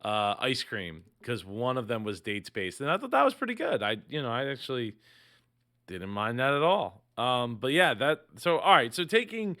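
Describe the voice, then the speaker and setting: Upbeat man with a bright, crisp voice. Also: medium pitch, sing-song. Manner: halting, many fillers and restarts; upbeat and enthusiastic. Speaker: business reaction host. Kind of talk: casual reaction commentary.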